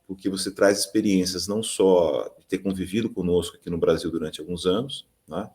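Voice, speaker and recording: A man acting as mediator, introducing an academic panel in a measured way with frequent tag questions. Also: sits low, a little mellow.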